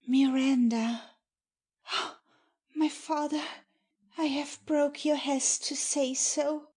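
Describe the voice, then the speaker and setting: A woman plays a tender young maiden in a Shakespeare audiobook. Her voice is high and soft-edged, mellow in tone, and she takes the verse slowly and earnestly, with innocent devotion. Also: fairly even intonation. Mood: shy, earnest. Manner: slow, earnest delivery of verse. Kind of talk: dramatic Shakespeare audiobook reading.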